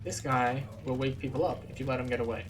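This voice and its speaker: A man's voice: mid-range voice, steady tone, fast, slight background noise. A man giving casual, explanatory commentary as he guides a game walkthrough. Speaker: gamer, tour guide. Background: music.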